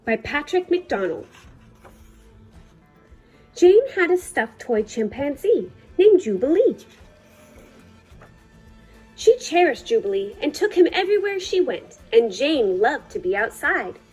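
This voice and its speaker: Female storytime reader, high and very melodic, soft-toned, deliberate phrasing, faint music underneath. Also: big pitch swings, rough recording. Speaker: librarian reading a picture book aloud. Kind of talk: children's storytime read-aloud.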